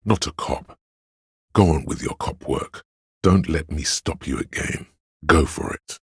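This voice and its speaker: A man voicing an omniscient inner voice of police camaraderie in a detective video game, narrating gravely and theatrically in a husky, gravelly voice. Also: a little slow, no echo, studio quality, heavily acted. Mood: wry, knowing. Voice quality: very deep gravelly husky rasp. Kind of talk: dramatic literary game narration.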